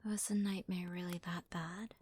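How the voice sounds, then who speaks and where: A woman playing a devoted, deferential servant in an ASMR roleplay, with a soft, breathy, half-whispered voice. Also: on the low side, little pitch movement, normal speed, a little hiss or hum, close to an everyday voice. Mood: concerned, soothing. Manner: gentle, deferential, hesitant. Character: devoted, doting young female servant to her master.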